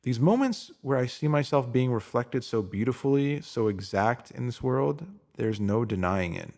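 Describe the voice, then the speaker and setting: A man's voice: medium pitch, expressive, normal speed, darkish tone. A man narrating a scripted self-help piece, reading it out as a motivational message.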